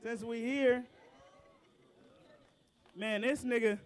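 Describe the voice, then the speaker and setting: A man, a rapper, chatting loosely and excitedly with his audience between songs at an outdoor backyard show, profane and quick in bursts. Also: very high-pitched. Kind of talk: casual banter with a live audience.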